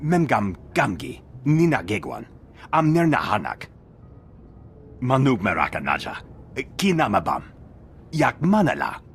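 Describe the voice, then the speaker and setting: A man's voice: medium pitch, huge pitch swings, unhurried, pauses often, a little hiss or hum. A man voicing a solemn alien cult member in a video game, declaiming in an invented tongue. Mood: solemn, declamatory. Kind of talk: video game cutscene acting.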